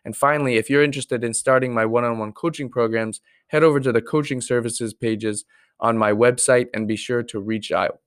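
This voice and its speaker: A man's voice: medium pitch, steady tone, a little fast, no room sound, clean studio sound. A man hosting a podcast, speaking earnestly and reflectively, with many fillers when he puts questions to a guest. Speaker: podcast host. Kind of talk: podcast intro and interview.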